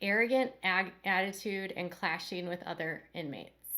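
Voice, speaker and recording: A woman's voice: fairly low pitch, moderate pace, fairly bright tone. Woman host w a cold, stuffy nose, rambly casual commentary talking to viewers. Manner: rambling, talks straight to viewers. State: congested with a cold.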